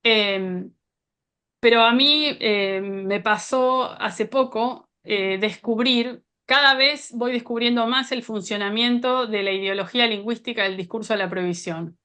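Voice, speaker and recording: A woman researcher reflects earnestly on her work over a video call. Her voice is bright and tense and sits a little high. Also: measured pace, clean tone.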